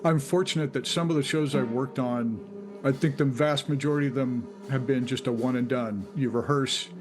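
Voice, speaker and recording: A man's voice: mid-range voice, normal speed, darkish tone, soft background music. A man working as a sound effects designer, talking casually in an interview.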